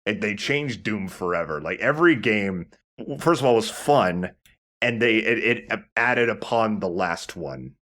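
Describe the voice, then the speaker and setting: A man hosting a let's play, giving casual gaming commentary in a halting, conversational way. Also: fairly low pitch, lively intonation, fairly bright tone.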